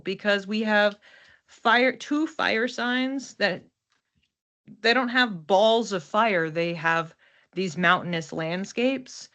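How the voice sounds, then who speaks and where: A woman's voice: a little high, bright, forceful tone. A woman hosting an oracle card reading in an informal, conversational way, often repeating and listing words for emphasis. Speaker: host, oracle card reader.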